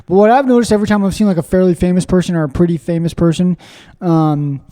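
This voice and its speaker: A high-pitched man with a mellow, dark tone hosts a casual podcast chat. He rambles excitedly, piling up fillers and restarting sentences. His voice rings with a long reverb. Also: fast. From Springfield, Missouri, USA. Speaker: podcast host. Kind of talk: casual conversational interview.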